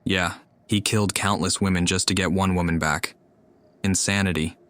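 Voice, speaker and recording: Very deep-voiced woman playing a game. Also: fairly even intonation, normal speed, slight background noise. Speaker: game streamer. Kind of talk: game playthrough, voicing lines and commenting.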